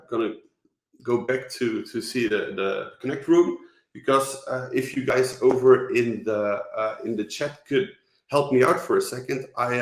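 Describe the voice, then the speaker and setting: A man from the Netherlands with a Dutch accent, giving an online conference talk, halting with many fillers and restarts. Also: mid-range voice, reverberant. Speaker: conference speaker.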